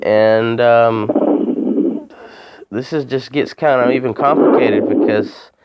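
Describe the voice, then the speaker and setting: A man making a complaint by phone, talking in a halting, rambling way and repeating himself. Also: medium pitch, steady tone, heavy background noise. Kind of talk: phone call, informal account. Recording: muffled phone call recording.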